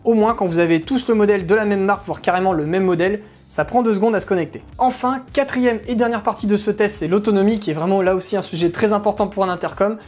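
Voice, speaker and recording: A woman's voice: medium pitch, fast, few pauses, darkish tone. A woman narrating a product review in an informal, chatty, explanatory way. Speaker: motorcycle gear reviewer.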